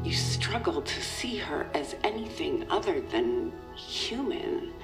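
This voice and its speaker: A woman playing a regretful boss in an audio drama, leaving a halting, earnest confidential message. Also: low-pitched, reverberant, exaggerated voice. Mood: solemn, earnest. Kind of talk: audio fiction acting, recorded farewell message.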